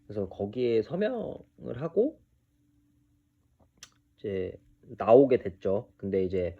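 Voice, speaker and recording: A man in his early thirties giving an unscripted personal monologue, speaking haltingly with hesitant pauses. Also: on the low side, very animated, gravelly, husky, narrow, tinny audio. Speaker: video creator telling his own story.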